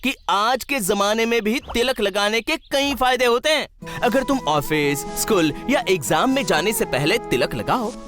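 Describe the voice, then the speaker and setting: A woman's voice: fairly high pitch, animated, measured pace, over music. A woman voicing a cheerful, wise and playful magical stone who teaches children in an educational cartoon, encouraging and exclamatory. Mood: explaining. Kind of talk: animated educational cartoon dialogue.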